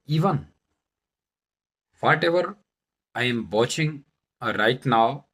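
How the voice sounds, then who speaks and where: A man from central India, a native Bundelkhandi speaker, presenting in Indian-accented English with deliberate, halting phrasing and stressed words, as in a self-introduction video. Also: mid-range voice, animated, a bit bright. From Madhya Pradesh, India. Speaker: vlogger, English learner turned presenter. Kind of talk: self-introduction talk to camera.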